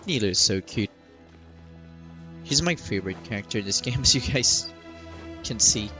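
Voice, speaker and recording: Male let's play creator, fairly low voice, casual chat over faint game music. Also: animated, normal speed, pushed and bright. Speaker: gaming video creator.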